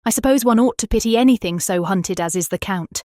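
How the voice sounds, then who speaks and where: A woman with a British accent playing a tender young Victorian wife, reading her diary in an earnest, expressive, formal way for a dramatised audiobook. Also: medium pitch, fairly quick, dry recording, studio quality, natural voice.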